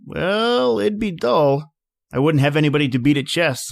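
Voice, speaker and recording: A man playing a calm, plain-spoken surveyor in a radio drama, narrating and talking through a lonely Arctic winter. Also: a little high, normal speed, creaky, dry recording, clean studio sound. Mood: matter-of-fact.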